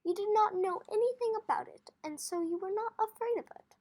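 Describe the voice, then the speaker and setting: Storybook father, a farmer played by a woman reader: high voice, calm and wise, earnest measured delivery, gently instructive. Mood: fond, amused.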